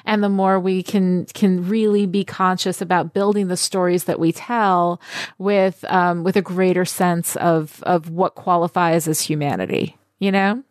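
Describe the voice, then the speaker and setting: A woman guest on a film podcast, talking in a lively, emphatic way with many fillers and restarts. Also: on the low side, measured pace, no room sound, clean studio sound. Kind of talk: casual podcast discussion.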